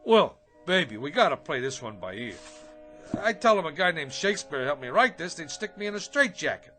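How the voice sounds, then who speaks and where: A man's voice: on the high side, big pitch swings, brisk, sharp tone, soft background music. An actor playing a brash, self-important hack writer in a comedic radio drama, slangy, boastful and stammering as he wheedles. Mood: pleading hard sell.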